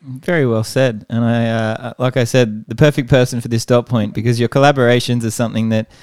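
A man's voice: medium pitch, fairly even intonation, fairly quick, darkish tone, clean tone, dry recording, studio quality. A man hosting an educational podcast interview, talking in a rambling, friendly way and often restarting his sentences.

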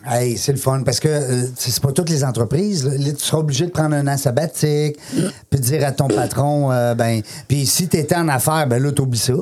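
A woman's voice: extremely low pitch, a little fast, dark tone. A woman hosting a casual interview, encouraging her guest with short replies and questions. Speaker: host.

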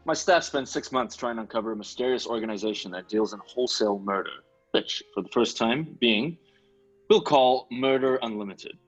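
A husky middle-pitched boss played by a man, blustery and baffled. He speaks in comic old-time radio style, with slightly dull sound and music behind. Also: fairly quick, lightly acted. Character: blustery, baffled male boss heading an investigation.

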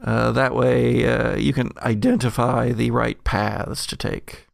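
Gravelly male game master, close and dry. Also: medium pitch, steady tone, slow, no room sound. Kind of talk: improvised narration of a tabletop game.

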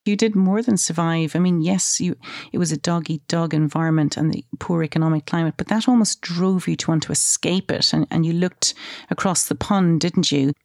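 A woman's voice: lowish voice, moderate pace, a little mellow, dry recording, clean studio sound. A woman hosting an interview, asking engaged, guiding questions. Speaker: host.

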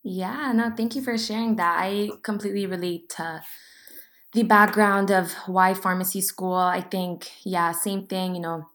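A young woman in her twenties co-hosting an interview podcast, reading a clear intro and then asking organized questions. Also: mid-range voice, fairly even intonation, unhurried, fairly bright tone. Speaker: podcast co-host.

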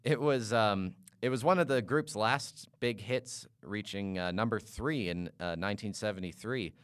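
A man from Canada hosting an interview and asking long, halting questions full of "uh"s and restarts. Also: on the low side, clear, resonant tone, no room sound, clean studio sound. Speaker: podcast host.

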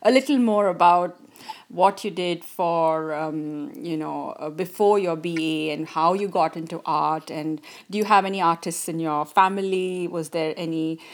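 A woman's voice: lowish voice, a little slow. Polite woman podcast host with fillers. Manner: polite, hesitant with many fillers. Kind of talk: podcast interview.

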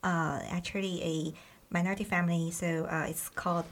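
Creaky low-voiced woman, hesitant guest. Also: flat delivery, moderate pace, slight background noise. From mainland China. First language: Bai. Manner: hesitant, many fillers and restarts. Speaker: guest, startup founding member. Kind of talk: casual interview talk.

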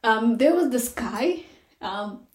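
A woman's voice: fairly high pitch, lively intonation, takes it slowly. A woman with a non-native English accent, speaking hesitantly with many fillers in a casual podcast conversation. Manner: hesitant, many fillers, laughs while telling. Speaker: HR technology consultant, podcast guest. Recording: roomy echo.

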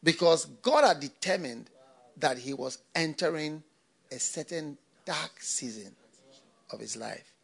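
A man's voice: a little high, expressive, unhurried, vocal fry, husky. An older man from Ghana, a pastor, preaching a sermon with repeated phrases and rhetorical questions.

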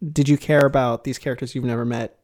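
A woman's voice: a bass voice, steady tone, quick pace, darkish tone. A woman co-hosting a casual TV recap podcast, playful and enthusiastic as she steers the discussion. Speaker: podcast co-host.

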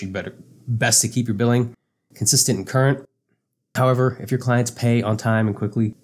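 A man's voice: medium pitch, darkish tone. A man hosting a podcast, explaining tax planning in a casual, practical way while getting over a cold. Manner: practical, advice-giving, with restarts. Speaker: podcast host, accountant.

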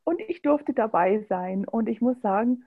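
A woman's voice: a little high, expressive, normal speed, slightly rough, phone-quality sound. A woman interviewing a guest in a casual talk, appreciative and enthusiastic, with some fillers.

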